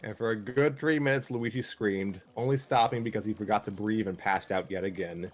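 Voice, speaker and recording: A man's voice: fairly low pitch, brisk, soft background music. A man serving as narrator of an amateur comic story, reading in a casual style.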